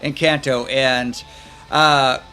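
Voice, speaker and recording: A man's voice: medium pitch, steady tone, slow, sharp tone, rough recording. A man with a Midwestern American accent co-hosting a casual music podcast, talking in thoughtful, hesitant asides. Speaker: podcast co-host. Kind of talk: casual chat about music.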